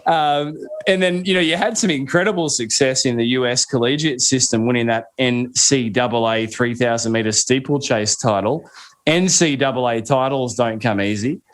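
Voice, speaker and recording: A man's voice: mid-range voice, moderate pace. A man with an Australian accent hosting an interview, asking long, winding, chatty questions. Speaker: podcast host. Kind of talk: interview host.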